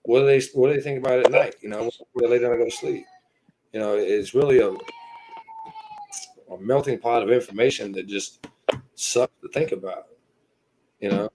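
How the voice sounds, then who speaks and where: A man in his thirties telling his personal story as a podcast guest, earnest and halting, filling his pauses with "you know". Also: mid-range voice, huge pitch swings, background noise. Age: 30s.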